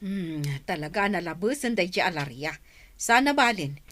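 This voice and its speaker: A woman's voice: fairly low pitch, expressive, moderate pace, a bit bright. A woman playing a chatty, nosy village food vendor in a radio drama, folksy and gossipy, with an Ilocano accent. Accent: Ilocano Filipino. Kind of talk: Filipino radio drama acting.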